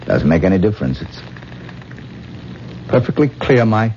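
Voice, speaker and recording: An actor playing a vain, lovesick, obsessive stage actor in a 1970s radio drama, with halting, repetitive, theatrical delivery. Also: male voice, on the low side, sing-song, darkish tone, a little hiss or hum. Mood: resigned despair. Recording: muffled vintage radio recording, music behind.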